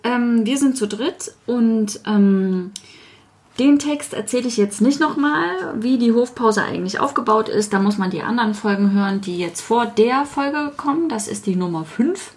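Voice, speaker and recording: A woman's voice: on the high side, lively intonation, moderate pace. A woman hosting a casual podcast chat in a playful, informal manner. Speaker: podcast host. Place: kitchen.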